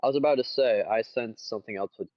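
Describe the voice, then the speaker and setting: A man's voice: on the low side, fast, dry recording. A man giving casual colour commentary on a card game in short, often repeated remarks, on a dull lo-fi recording.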